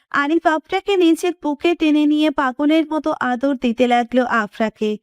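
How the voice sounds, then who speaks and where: High-pitched woman narrating a love story. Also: no echo, clean studio sound. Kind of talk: scripted romantic story narration.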